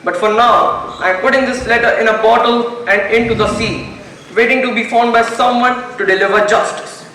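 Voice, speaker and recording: A teenage boy playing a cold, calculating judge, proud of his murders, in a measured confessional monologue on stage. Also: extremely high pitch, steady tone, pushed and bright, slight background noise, exaggerated voice. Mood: musing. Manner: measured, deliberate, self-satisfied. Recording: echoey hall, far mic, music behind.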